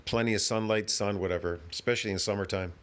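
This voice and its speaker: A man's voice: lowish voice, steady tone, quick pace, very noisy. A man hosting his own channel, giving brash, rambling, dismissive commentary on another creator's video from home. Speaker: channel host reacting to videos. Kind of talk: casual reaction commentary.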